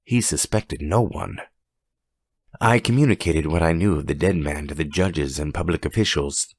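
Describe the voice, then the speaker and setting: A man narrating a horror story as a dramatic audiobook, with a measured, suspenseful, theatrical delivery. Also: rumbling low, darkish tone, breathy edge, no room sound, clean studio sound.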